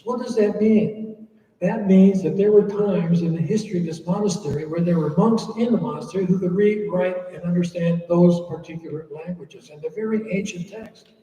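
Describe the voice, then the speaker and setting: A man's voice: sits high, dark tone. An older man, a monk, giving an informal talk to an audience and telling stories with humorous asides. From United States. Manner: chatty, storytelling with humorous asides. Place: church hall. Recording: echoey hall, voice from far off.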